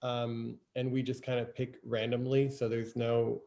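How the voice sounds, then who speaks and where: A man hosting an online session on a video call, casually explaining how to take part, with soft ums between his phrases. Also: mid-range voice, monotone, unhurried, creaky, a crowd in the background. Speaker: host and moderator.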